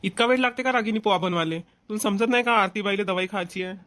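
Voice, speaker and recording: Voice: medium pitch, fast, fairly bright tone, close to an everyday voice. An actor playing an insistent, homely village brother in a family cartoon, with a rural Varhadi Marathi accent. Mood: impatient. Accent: Maharashtrian, rural Varhadi Marathi. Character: bossy but caring village brother and husband.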